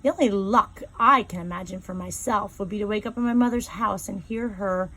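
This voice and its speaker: A woman reading a first-person novel aloud in a calm, measured storytelling voice, slowing down on key phrases. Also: mid-range voice, low-quality recording. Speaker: reader.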